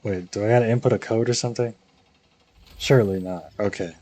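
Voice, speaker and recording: A young man with a husky voice giving casual, slangy live commentary on a game, musing to himself. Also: lowish voice, steady tone, fairly quick, darkish tone. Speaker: game streamer.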